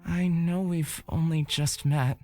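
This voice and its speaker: A timid young man , acted by a woman, speaks gently and hesitantly, eager but always apologetic. He belongs to a fantasy visual novel, with light music behind him. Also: deep voice, steady tone, slow. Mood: shy, apologetic. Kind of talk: visual novel character reading. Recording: background game music.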